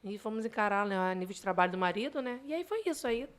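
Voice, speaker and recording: A woman's voice: medium pitch, animated, fast talker. A woman with a Rio de Janeiro accent, chatting casually and explaining things as a podcast guest. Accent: Rio de Janeiro Brazilian Portuguese. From Brazil, grew up in Rio de Janeiro. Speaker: podcast guest, digital marketer. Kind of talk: casual interview chat.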